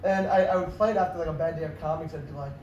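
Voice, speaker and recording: A young man with a high voice tells a story in an excitable, rambling way, full of restarts and "like"s. He sits back from the mic in an echoey room, and faint noise hangs behind him. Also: racing, recorded from a distance, dull audio. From New York City area. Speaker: podcast guest, comedian. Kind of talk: casual storytelling chat.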